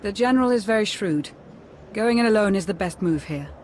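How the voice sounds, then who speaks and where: A woman's voice: medium pitch, expressive, a little fast, darkish tone, a little hiss or hum, close to an everyday voice. An actress playing a composed, shrewd female military adviser in a game cutscene, speaking with assured, formal precision. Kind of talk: scripted game cutscene dialogue.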